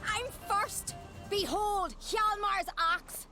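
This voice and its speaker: A woman's voice: extremely high pitch, very slow, pushed and bright, faint music behind, heavily acted. A woman playing a bold, competitive young island warrior in a fantasy game, brash and taunting. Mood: excited, taunting. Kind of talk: cinematic fantasy game acting.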